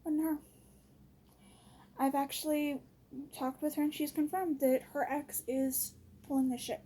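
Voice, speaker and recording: A woman with a notably high voice and a soft, mellow tone talks as if venting to her followers. She is exasperated and blunt, and keeps it casual and full of slang. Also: flat delivery, unhurried, slight background noise. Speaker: vlogger.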